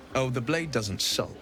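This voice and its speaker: A man playing a brooding, good-natured swashbuckling hero with wry humour in a video game. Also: mid-range voice. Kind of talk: video game character dialogue. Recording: music behind the voice.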